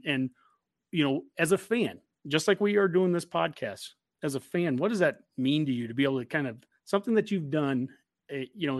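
A man's voice: a little high, dry recording, clean studio sound. A man talking casually and effusively as a sports podcast host, full of enthusiasm for his subject.

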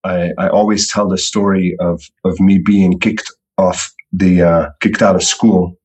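A middle-aged man from Belgium, a guest telling his story in a podcast interview, relaxed and repeating words often. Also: sits low, a little slow, husky. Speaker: entrepreneur guest.

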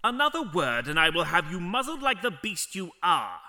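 An actor playing a pompous, imperious young judge in a fantasy audio drama, commanding and officious. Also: male voice, high voice, sing-song, normal speed, pushed and bright, a little hiss or hum, exaggerated voice. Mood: outraged.

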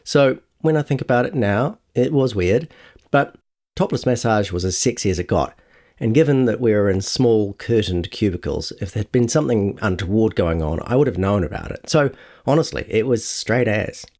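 A man's voice: fairly low pitch, lively intonation, moderate pace, darkish tone. Man quoting a wry, blunt veteran woman broadcaster. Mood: frank, insistent. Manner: dry, theatrical emphasis.

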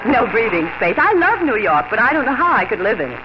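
A woman's voice: a little high, big pitch swings, fast. An actress playing a breezy, sociable East Coast woman, gushing and wryly teasing, in a 1950 radio drama. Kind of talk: 1950s radio drama acting. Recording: noisy, muffled old radio broadcast.

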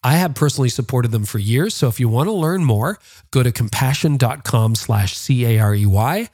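A man's voice: mid-range voice, expressive, moderate pace, a little mellow. A man speaking as a podcast host, in interviews and sponsor reads.

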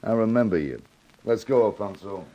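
An actor playing a dangerous gangster boss, casually menacing and slangy, in a 1950s radio drama. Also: male voice, fairly low pitch, animated, moderate pace, warm tone, highs cut off. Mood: smug, casual. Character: dangerous racketeer boss, coolly threatening.

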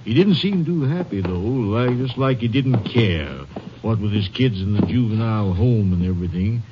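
An actor playing a good-hearted, folksy police chief who muses aloud in an old radio drama. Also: male voice, fairly low pitch, animated, moderate pace, noisy background, close to an everyday voice. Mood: easygoing, sympathetic. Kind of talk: 1950s radio drama acting. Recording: muffled old radio recording, music behind.

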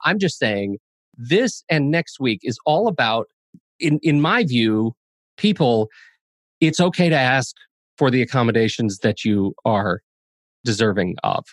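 A man hosting a podcast in a casual, chatty way, expressive and playfully dramatic in his emphasis. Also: mid-range voice, unhurried, no echo. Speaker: podcast host.

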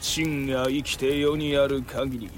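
A man's voice: mid-range voice, fairly even intonation, unhurried, soft background music, close to an everyday voice. A man playing a vengeful, majestic exiled emperor, chanting solemn menace in a Japanese historical audio drama. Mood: vengeful, menacing.

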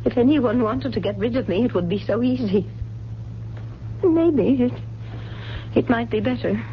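A fragile, grieving older mother of a wealthy house in a 1970s radio drama. Her genteel voice is high and sweeps theatrically up and down. The recording is dull, with a humming hiss. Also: lively intonation, unhurried. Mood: resigned, wistful.

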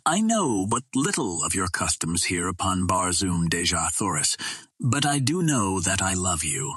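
A man acts out an ardent soldier hero from an old adventure audiobook. He is determined and formal, with a lowish, husky voice. The sound is dry and close. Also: very animated, normal speed, fairly bright tone, no room sound, full character voice. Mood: ardent, pleading. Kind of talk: dramatic audiobook dialogue.

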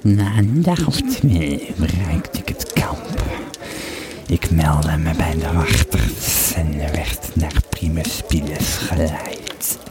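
A man playing a Roman legionary who tells his war story in an audio drama, with a gravelly, halting, dramatic delivery. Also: rumbling low, expressive, over loud music, full character voice. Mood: weary, matter-of-fact. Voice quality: gravelly, creaky. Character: Roman legionary recounting his harrowing escape and mission. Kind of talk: dramatised first-person storytelling in an audio play. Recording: busy crowd chatter behind the voice. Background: crowd noise.

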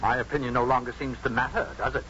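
An actor playing a pompous, petty, indignant professor in 1950s radio drama, stiff in manner. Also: male voice, medium pitch, steady tone, fast, pushed and bright, lightly acted. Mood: affronted. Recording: muffled, noisy 1950s radio recording.

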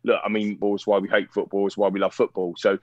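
A hoarse male co-host speaks in a low voice on a podcast. The line sounds dry and dull. He is reflective and uses many fillers. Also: little pitch movement, quick pace, a little mellow, dry recording. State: hoarse from cheering.

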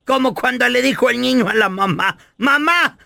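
A man's voice: very high-pitched, normal speed, creaky, pushed and bright, dry recording, studio quality, full character voice. A man voicing a giggly, stoned older prank caller who keeps laughing and tossing in English phrases on a radio show.

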